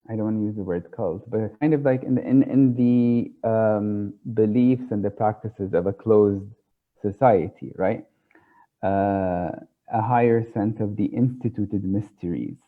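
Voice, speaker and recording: A man whose first language is Arabic, explaining ideas in an informal lecture, pausing often with fillers and checking in with "okay?". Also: on the low side. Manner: thoughtful, hesitant, many fillers, checks in with "okay?". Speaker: tarot teacher. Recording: tinny phone-like sound.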